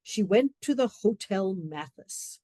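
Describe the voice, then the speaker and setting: Mellow, expressive woman narrator. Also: medium pitch, slow pace, dry recording. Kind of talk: scripted story narration for a radio play.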